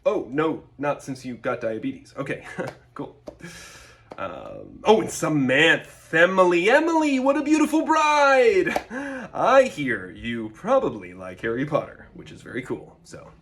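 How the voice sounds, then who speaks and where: A man playing a tactless, awkward best man in a comic wedding toast, halting and trailing off. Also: a little high, huge pitch swings, fairly bright tone, a little hiss or hum, pitched below the performer's own voice, exaggerated voice. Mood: uncomfortable, fake cheer.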